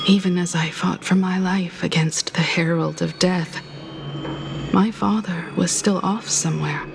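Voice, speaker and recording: An actress voicing a somber, composed woman haunted by the past, speaking in a measured, reflective way in a video game. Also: fairly low pitch, creaky, noisy background. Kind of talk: dramatic video game voice acting. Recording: loud music under the voice. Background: game music.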